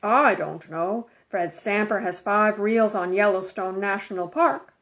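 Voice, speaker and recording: A woman's voice: mid-range voice, a little slow, a bit bright. A woman voicing a reluctant, easygoing homebody father in a children's storybook, grumbling in a laid-back way. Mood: teasing. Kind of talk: children's storybook read-aloud. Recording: dull lo-fi home recording.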